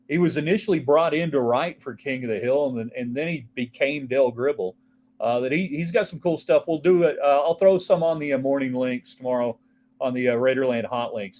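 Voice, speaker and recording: A man's voice: mid-range voice, a little mellow. A man hosting a casual solo sports talk show, rambling from topic to topic and answering viewer texts. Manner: rambling, offhand, jumps between topics.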